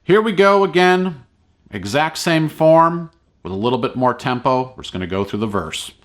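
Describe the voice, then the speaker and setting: Deep-voiced man teaching ukulele casually. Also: big pitch swings, normal speed. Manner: encouraging, easygoing, informal. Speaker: ukulele teacher and online video host. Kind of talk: casual play-along tutorial talk.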